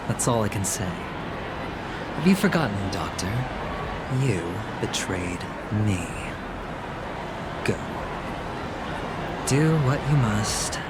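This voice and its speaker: An actor playing a sly, theatrical gambler and schemer in a video game, sardonic, teasing and self-assured. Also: male voice, fairly low pitch, expressive, takes it slowly, long pauses, very noisy. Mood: bitter, goading. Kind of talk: video game cutscene acting. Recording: loud music behind the dialogue. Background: loud music.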